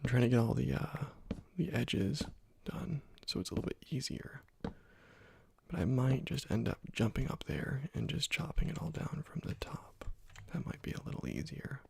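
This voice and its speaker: Man, young adult, ASMR host, soft half-whisper, very breathy, gravelly fry. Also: mid-range voice, lively intonation, normal speed, no echo. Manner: quiet, half-whispered, musing to himself. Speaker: ASMR gaming channel host. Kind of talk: soft-spoken ASMR gameplay commentary.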